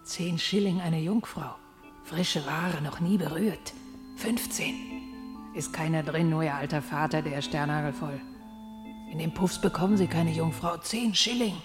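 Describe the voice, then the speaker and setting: Coarse old procuress, radio drama, sly insistent hawking, reverberant, loud music behind. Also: female voice, deep voice, a little slow. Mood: pushy, sly. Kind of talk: German radio play acting.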